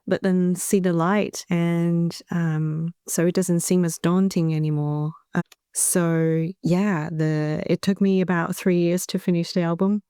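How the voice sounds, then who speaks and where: A woman in her thirties from Taiwan, an English speaker, talking in a soft-spoken, thoughtful way as an interview guest. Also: on the low side, fairly even intonation, unhurried, smooth, dry recording, clean studio sound. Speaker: singer-songwriter guest. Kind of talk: relaxed interview chat.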